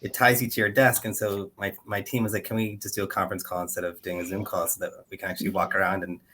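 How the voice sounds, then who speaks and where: Male webinar host over a video call, lowish voice, faint background hiss, easygoing and conversational. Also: quick pace. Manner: relaxed, conversational, some filler hesitations. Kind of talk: hosting a video-call panel.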